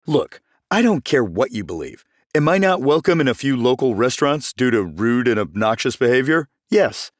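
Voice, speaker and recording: A man playing a self-important, defensive accused businessman in an animated comedy, speaking emphatically. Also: medium pitch, normal speed, dry recording, studio quality, lightly acted.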